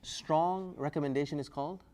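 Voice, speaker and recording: Energetic man quizzing his class. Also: a little high, normal speed. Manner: energetic, quizzing the class, rising loudly to prompt answers. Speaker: Islamic studies teacher. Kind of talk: classroom lecture with call-and-response.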